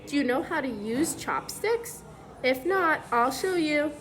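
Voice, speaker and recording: A woman reading a picture book aloud to young children, pausing to explain things simply as a preschool teacher would. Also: sits high, lively intonation, fairly bright tone, low-quality recording.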